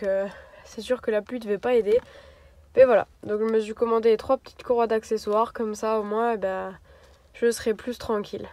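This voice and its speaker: A woman's voice: a little high, a little mellow. A young woman vlogging casually into her phone from her camper van, chatty and hesitant, her nose stuffed up from a cold. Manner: chatty, hesitant with many fillers. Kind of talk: casual vlog chat to camera. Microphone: phone mic.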